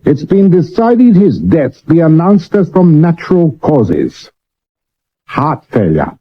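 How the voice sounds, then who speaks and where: Blustering, high-pitched Victorian police chief. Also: male voice, huge pitch swings, slow, full character voice. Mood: firm, dismissive. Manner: clipped, commanding, blustering. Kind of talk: 1970s radio drama acting. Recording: dull old medium-wave radio recording.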